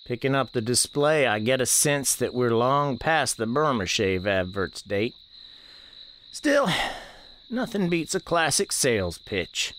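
An actor playing an elderly country storekeeper, narrating an audio drama in a folksy, deliberate, musing way. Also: male voice, mid-range voice, very animated, fairly bright tone, slight background noise. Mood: musing, unhurried. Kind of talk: first-person scripted narration, audio drama.

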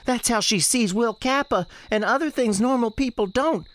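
A woman's voice: a little high, moderate pace, close to an everyday voice. Woman acting as teen ghost nun girl in a radio play, plaintive n defiant, earnest folksy talk. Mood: pleading. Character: restless defiant ghost of a sixteen-year-old novice nun. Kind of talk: dramatic radio theatre dialogue.

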